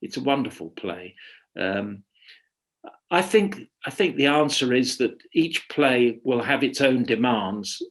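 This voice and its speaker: A man with an average-pitched voice speaks as a guest expert in an informal webinar. He thinks aloud, pausing and restarting phrases as he works toward each answer. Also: lively intonation. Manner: hesitant, halting, thinking aloud with repeated starts. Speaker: guest expert, radio dramatist.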